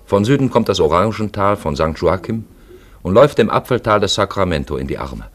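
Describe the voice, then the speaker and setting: A man's voice: deep voice, lively intonation, a little fast. A man acting as a storyteller, narrating a literary radio play in a lyrical, dramatic manner. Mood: poetic, wry. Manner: dramatic, lyrical, swelling and dropping away.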